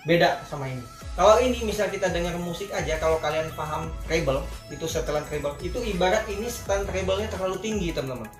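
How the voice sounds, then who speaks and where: A man's voice: high voice, quick pace, hardly any pauses. A man with a Jakarta Indonesian accent giving a casual product review in informal, colloquial talk, often addressing his viewers directly. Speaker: vlogger reviewing gear. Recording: echoey room with faint music.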